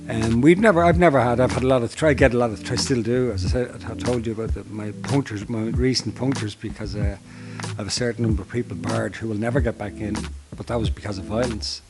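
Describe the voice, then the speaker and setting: A middle-aged Dublin man who manages a homeless service, answering interview questions earnestly with many halting restarts. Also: medium pitch, steady tone, over music. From Ireland.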